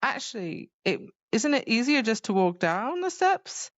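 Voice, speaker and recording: A woman's voice: on the high side, sing-song, unhurried, dry recording, lo-fi sound. A woman co-hosting a casual book podcast, chatting informally and loosely quoting characters. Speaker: podcast co-host.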